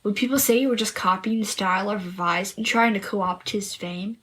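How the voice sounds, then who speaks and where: A woman playing a clever, confident young girl in a story podcast, explaining and teasing with a slightly husky voice. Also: mid-range voice, moderate pace, fairly bright tone. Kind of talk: scripted story podcast dialogue.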